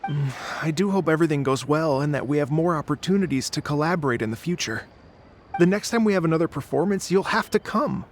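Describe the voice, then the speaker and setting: Earnest game musician: lighter, higher register, effusive, courteous, theatrical. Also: male voice. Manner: theatrical, gushing, polite. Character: effusive, earnest male musician from abroad, sincere idealist. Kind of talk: video game voice acting.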